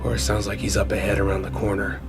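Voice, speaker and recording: A low-voiced man reads scripted first-person survival horror narration for a radio play. Prominent music plays underneath his voice. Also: monotone, fast talker, vocal fry.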